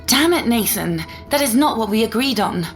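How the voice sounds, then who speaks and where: A woman's voice: medium pitch, expressive, takes it slowly, gravelly, faint music behind. A woman voicing an exasperated mother, snappy and sarcastic with emphatic delivery, in an audiobook story. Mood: angry. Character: fiery, exasperated young mother arguing with ex.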